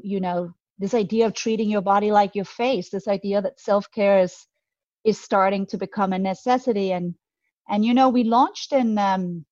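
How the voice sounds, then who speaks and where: A woman's voice: mid-range voice, darkish tone, no room sound. Danish-accented woman, dry slightly dull recording. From Denmark. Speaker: guest, beauty brand co-founder. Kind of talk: conversational podcast interview.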